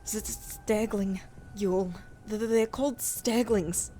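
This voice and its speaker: A woman's voice: mid-range voice, steady tone, a little slow, dark tone, a little hiss or hum, lightly acted. A woman playing a shivering, worried fantasy scout who stutters through chattering teeth in an audiobook. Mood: cold, shivery.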